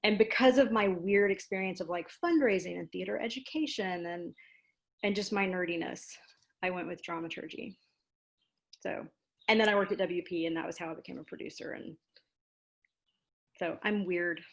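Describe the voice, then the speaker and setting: An American woman being interviewed, speaking in a casual, animated storytelling way with self-deprecating asides. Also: on the low side, normal speed, vocal fry, pauses often. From Louisiana, United States. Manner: animated, self-deprecating, acts out quoted exchanges. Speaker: interview guest, theater dramaturg and producer.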